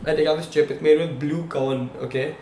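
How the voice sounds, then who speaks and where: A man's voice: fairly high pitch, a little fast. A young man, a podcast co-host, telling a long story in casual chat and checking in with his listeners as he goes. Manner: animated storyteller, checks in with "okay? right?". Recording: echoey room, faint background hum.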